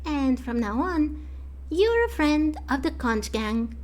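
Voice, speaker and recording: A woman's voice: sits high, sing-song, takes it slowly, a little mellow, exaggerated voice. A woman voicing a proud, loyal young girl from a street gang in a video game, speaking earnestly. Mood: proud, friendly. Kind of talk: fan voice-over of video game dialogue.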